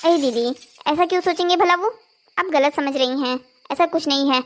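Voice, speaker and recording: A woman acts out a young daughter-in-law from a cartoon household drama. Her voice is very high and girlish. She speaks in a melodramatic, exclamatory way, as someone used to shopping and complaining. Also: normal speed, slight background noise, close to an everyday voice. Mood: puzzled. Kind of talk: Hindi cartoon family drama dialogue.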